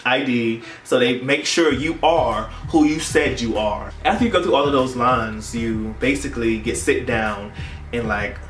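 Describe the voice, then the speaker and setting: A man's voice: mid-range voice, measured pace. Young man storytelling in an echoey room. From United States. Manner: animated, playful, dramatic storytelling with slangy phrasing. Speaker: YouTube vlogger. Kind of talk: casual storytime vlog. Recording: roomy echo, distant mic, music behind.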